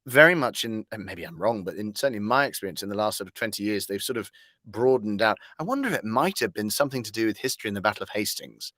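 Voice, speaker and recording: A man's voice: on the low side, animated, fast, pushed and bright, dry recording, studio quality. A man speaking as a voice actor being interviewed, wordy and articulate, with whimsical turns of phrase. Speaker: guest, voice actor. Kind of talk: conversational interview.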